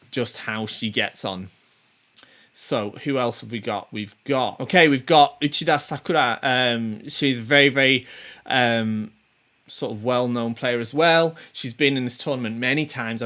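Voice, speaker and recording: A man's voice: medium pitch, moderate pace, a bit bright. A man in his forties hosting a show, giving casual commentary on tournament players, full of ums, restarts and little chuckles. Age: 40s. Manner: rambling, many ums and restarts; chatty, chuckles to himself. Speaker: show host.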